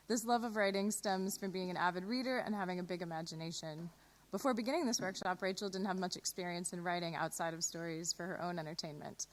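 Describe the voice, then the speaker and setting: A woman presenting radio plays, reading a scripted introduction at a live event. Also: lowish voice. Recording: clearly noisy live broadcast recording.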